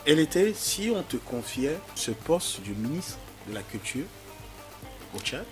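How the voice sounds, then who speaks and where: A man hosting an interview, asking measured, formal questions. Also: medium pitch, big pitch swings. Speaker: interviewer host. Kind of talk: radio-style interview questions. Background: music.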